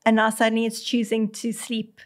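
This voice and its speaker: South African vet, chatty, higher-pitched woman. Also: monotone, brisk. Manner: explains by speaking as the cat in first person, many fillers and restarts. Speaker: veterinarian guest. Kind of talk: conversational expert explanation.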